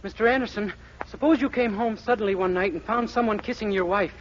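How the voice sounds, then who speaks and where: A man's voice: sits high, fast talker, natural voice. An actor playing a meek, naive young American man with an earnest, boyish, plaintive manner in 1940s radio comedy. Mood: glum. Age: young adult. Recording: very noisy, dull 1940s radio recording.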